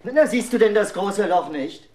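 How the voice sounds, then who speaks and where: A man's voice: high-pitched, animated, measured pace, darkish tone. An actor playing a teasing middle-aged father in a 1970s German children's radio play, with a theatrical delivery. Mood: mischievous teasing.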